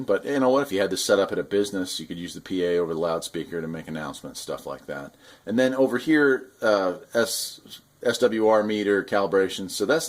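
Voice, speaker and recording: A man giving a casual hands-on product demonstration, explaining things in an informal, conversational way as a hobbyist host. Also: sits low. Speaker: radio hobbyist host reviewing gear.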